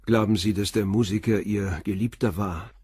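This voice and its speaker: An actor playing a calm, persistent police commissioner who asks short, probing questions in a radio drama. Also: male voice, lowish voice, normal speed, breathy edge, no echo, studio quality.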